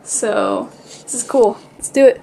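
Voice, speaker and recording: A woman's voice: a little high, huge pitch swings, measured pace, warm tone, a little hiss or hum, rough recording. A woman vlogger talking casually, as if to viewers of her daily vlog.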